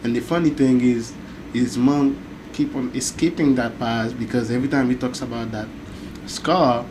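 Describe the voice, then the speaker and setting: A man's voice: medium pitch, steady tone, normal speed. Rambling man over a noisy room. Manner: rambling, full of "like" and "basically". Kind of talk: casual chat.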